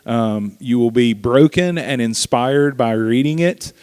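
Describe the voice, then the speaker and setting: A man's voice: medium pitch, measured pace, darkish tone, smooth, dry recording. A man hosting an event, giving an informal, folksy introduction of a guest speaker in colloquial words.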